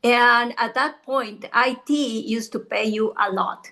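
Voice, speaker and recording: Middle-aged Ecuadorian woman, Spanish native, earnest storyteller with a fairly high voice. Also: fairly even intonation, takes it slowly, sharp tone. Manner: earnest, storytelling, many restarts. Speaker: guest realtor. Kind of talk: casual interview chat.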